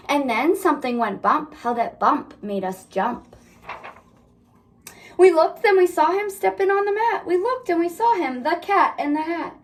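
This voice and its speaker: A woman reading a children's picture book aloud in an animated, expressive style, as a teacher does. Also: sits high, a little hiss or hum.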